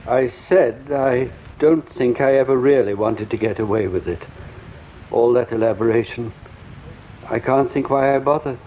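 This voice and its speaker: Tinny phone voice, rueful gentleman. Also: mid-range voice, moderate pace. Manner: reflective, polite, resigned. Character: educated, rueful, self-mocking gentleman culprit, resigned. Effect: telephone filter. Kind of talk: radio drama acting. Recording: thin phone-line sound with faint noise.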